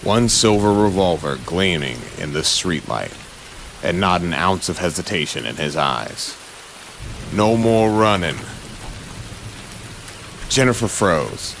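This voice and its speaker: A man delivers dramatic, suspenseful audio drama narration in short punchy phrases. His pace is slow, and rain and street ambience are clearly heard behind him. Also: deep voice, sing-song, fairly bright tone, slight background noise.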